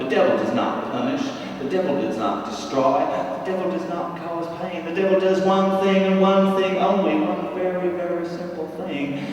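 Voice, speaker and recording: Male actor as sly Texan devil's envoy, stage monologue, grandiose revival-preacher delivery, fairly high voice, big echoing hall. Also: darkish tone, natural voice. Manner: grandiose, emphatic, drawn-out repetitions for effect. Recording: echoey hall from far off, faint noise.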